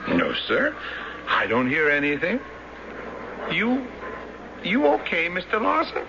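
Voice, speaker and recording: A man acting in a 1970s radio drama as an earnest, plain-spoken, eccentric loner with a halting, simple way of talking. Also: high-pitched, huge pitch swings, gravelly, full character voice. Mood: curious, puzzled. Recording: dull, noisy 1970s radio broadcast.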